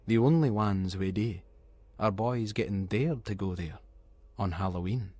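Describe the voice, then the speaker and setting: A man's voice: fairly low pitch, unhurried, a little mellow, no echo. Scottish man narrating a story, close dry sound. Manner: suspenseful, colloquial. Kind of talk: dramatic scripted story narration in Scots dialect.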